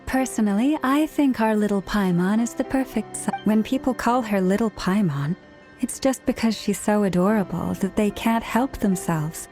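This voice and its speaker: An actress voices a kindly young woman in a video game. She is gracious and polite, at a medium pitch, with soft music playing behind her. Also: moderate pace, natural voice. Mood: affectionate.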